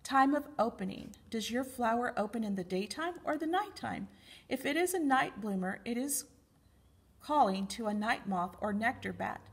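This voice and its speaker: A middle-aged woman with a slightly husky voice, reading picture books aloud expressively for young children as a library storytime reader. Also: on the high side, expressive, moderate pace.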